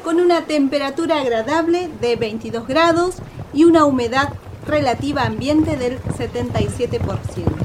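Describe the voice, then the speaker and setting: An actress playing a polite, eager radio reporter with an Argentine accent, formally announcing a live news report in a radio drama. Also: female voice, high-pitched. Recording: distant, noisy outdoor-style radio recording.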